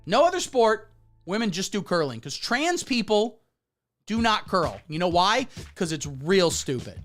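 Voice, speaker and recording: A man on a comedy livestream rants with mock outrage, his pitch leaping all over as he goes. Faint music plays under his voice. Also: big pitch swings, unhurried. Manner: loud mock-outraged ranting, then muttering asides while browsing. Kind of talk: satirical livestream rant.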